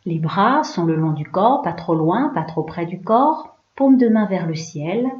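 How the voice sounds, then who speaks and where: A woman yoga teacher leading a guided relaxation in a hushed, soothing, unhurried way, leaving pauses between short phrases. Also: fairly low pitch, lively intonation. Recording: roomy, slightly dull recording.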